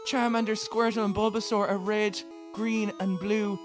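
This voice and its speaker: A co-host chatting casually and excitably, swearing freely through a game playthrough. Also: medium pitch, normal speed. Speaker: podcast co-host. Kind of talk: casual banter in a role-play game playthrough. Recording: music behind the voice.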